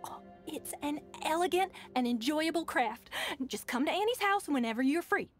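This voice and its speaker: A woman's voice: sits high, expressive, moderate pace. A woman playing a genteel, kindly busybody auntie in a video game. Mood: sweetly coaxing. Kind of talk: game voice acting. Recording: music behind the voice.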